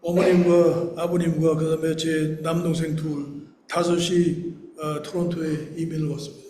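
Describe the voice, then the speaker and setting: A Korean-born male pastor in his sixties, delivering a sermon in a measured way, with phrases that trail off softly at the ends. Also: a little high, little pitch movement, roomy sound, a little hiss or hum. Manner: slow and deliberate in English, brisker in Korean.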